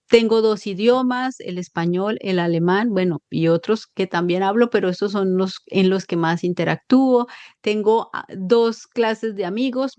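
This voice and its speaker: A Colombian woman, a psychologist, talking in a lively and expressive way in an interview, explaining with examples. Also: mid-range voice, no room sound. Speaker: psychologist guest. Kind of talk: conversational interview.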